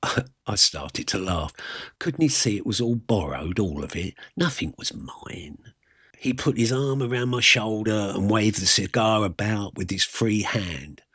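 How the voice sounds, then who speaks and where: A man narrating a first-person story aloud, reading literary prose with dramatic pauses and a husky voice. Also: fairly low pitch, expressive, a bit bright, dry recording, studio quality.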